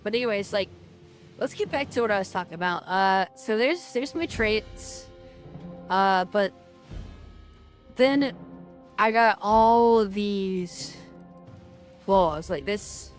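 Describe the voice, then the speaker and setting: A woman's voice: mid-range voice, slow pace, long pauses, faint music behind. Rambling woman playing a game. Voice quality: loud voice. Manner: rambling, lots of fillers and restarts. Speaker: gaming streamer. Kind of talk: casual game commentary.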